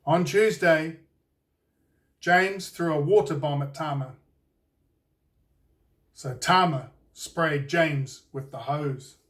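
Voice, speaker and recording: A man with a New Zealand accent reading a children's storybook aloud in a deliberate, expressive storytelling way. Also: on the high side, distant mic. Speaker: children's author reading his own book. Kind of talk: scripted storybook narration for children.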